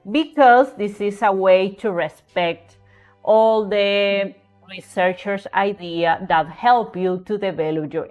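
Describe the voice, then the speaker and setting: Spanish-accented woman teaching essay writing, mid-pitched voice, keeps saying okay? and right?, soft music in the back. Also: dull audio. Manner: repeats words, frequent "okay?" and "right?" checks. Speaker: writing tutor. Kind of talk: casual tutorial explaining essay writing.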